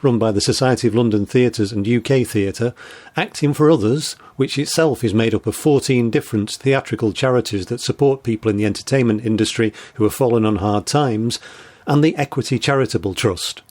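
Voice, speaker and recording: A man's voice: mid-range voice, fairly quick, a little mellow. A man hosting an interview programme, giving scripted introductions and putting questions to his guest. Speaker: host and interviewer.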